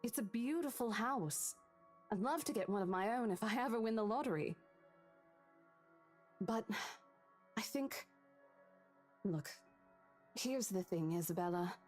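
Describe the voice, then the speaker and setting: An actress playing a caring young real estate agent who talks in a reassuring, coaxing way in a horror visual novel. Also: female voice, medium pitch, expressive, a little slow, long pauses, darkish tone, faint music behind, lightly acted.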